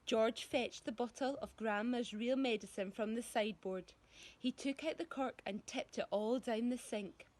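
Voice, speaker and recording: A woman reading a story to schoolchildren expressively, giving descriptive words dramatic, deliberate emphasis. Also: on the high side, a little mellow.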